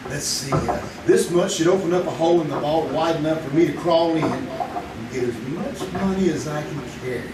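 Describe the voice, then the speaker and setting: An actor playing a small-town would-be bank robber who mutters and gloats to himself in a live radio play. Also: male voice, on the high side, moderate pace, crowd noise, natural voice. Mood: plotting. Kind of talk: live old-time radio drama acting. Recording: roomy live stage, distant mic, noisy.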